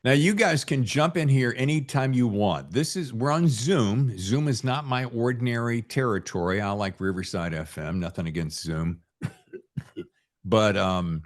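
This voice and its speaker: A man hosting a podcast in a casual, chatty way, with a jokey, informal manner and wandering asides. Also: fairly low pitch. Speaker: podcast host.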